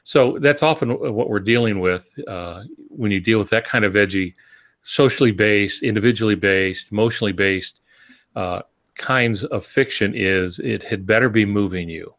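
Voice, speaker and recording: An older man with a fairly deep voice talks on a podcast. He is reflective and earnest, building his points through rhetorical questions and deliberate stresses. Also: fairly bright tone. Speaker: podcast co-host and novelist. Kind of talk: reflective conversational talk.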